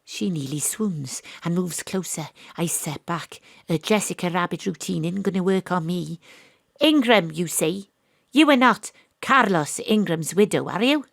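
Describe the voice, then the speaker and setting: An actress playing a wry, sceptical Welsh private detective in a noir-parody audio drama, with deadpan asides. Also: female voice, on the low side, measured pace, gravelly, no echo, studio quality, heavily acted. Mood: probing, incredulous.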